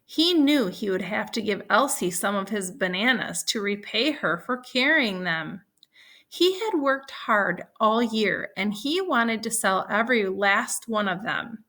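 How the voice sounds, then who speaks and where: A woman teaching young children, reading a story aloud and explaining it in a deliberate, instructional way. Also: mid-range voice, lively intonation, bright, forceful tone.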